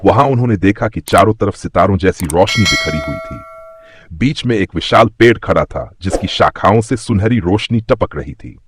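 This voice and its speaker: A man's voice: sits low, lively intonation, normal speed, background noise. A man narrating a scripted moral story for children as a storyteller.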